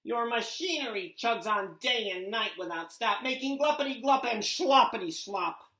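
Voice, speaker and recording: Small cranky male creature, scolding and indignant, read aloud by a woman, pitched up, slow. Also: creaky. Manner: indignant, scolding, rhythmic rhyming delivery. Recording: roomy echo.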